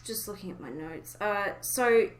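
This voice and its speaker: An echoey room surrounds this woman's voice, which sits at an average pitch. She hosts a podcast in a casual, conversational fan-discussion style. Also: lively intonation, measured pace, slight background noise. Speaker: podcast host.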